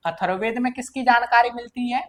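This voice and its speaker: A woman's voice: a little high, brisk, a bit bright. A woman with an Indian accent teaching an exam-prep lesson, repeating key points and answering her own questions in a didactic way.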